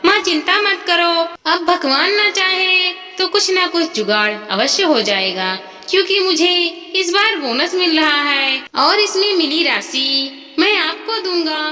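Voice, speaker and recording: A woman's voice: extremely high pitch, expressive, a little slow, hardly any pauses, sharp tone, full character voice. A woman voicing a devoted, dutiful adult son in a story, speaking in an earnest way. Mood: reassuring, earnest. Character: devoted, dutiful grown son of modest means. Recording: long reverb, music behind.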